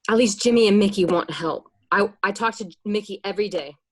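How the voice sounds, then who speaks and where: A woman's voice: medium pitch, a little fast, natural voice. A woman playing a mobster's anxious, defensive wife in an amateur read of a crime film script. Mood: urgent, anxious.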